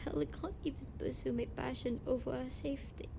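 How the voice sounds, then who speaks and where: A young woman playing an emotional, halting tsundere delinquent heiress in an amateur audio roleplay. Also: sits high, steady tone, creaky, noisy background. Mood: tearful, burdened. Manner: emotional, halting, swears freely. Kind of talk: amateur audio roleplay, intimate dramatic monologue.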